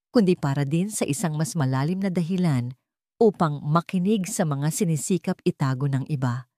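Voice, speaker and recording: A woman reads a dramatic story in a low register. Her tone is mellow and rounded, and the recording is close and dry, with hardly any room around it. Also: no echo. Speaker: narrator. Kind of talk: scripted audio story narration, dramatic.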